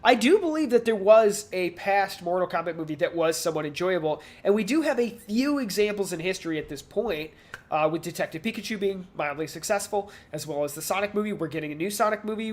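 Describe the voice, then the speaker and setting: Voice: mid-range voice, animated, fairly quick. A podcast co-host chatting casually about pop culture, speaking in a hesitant, rambling way and often repeating words.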